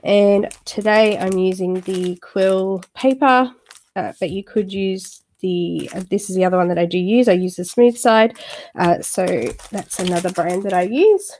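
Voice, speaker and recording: A woman's voice: mid-range voice, unhurried, a little mellow, a little hiss or hum. A woman hosting a live coloring stream, chatting with viewers and talking a little nasally from a cold. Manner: chatty, friendly, lots of fillers. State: has a cold, slightly nasal. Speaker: YouTube coloring channel host.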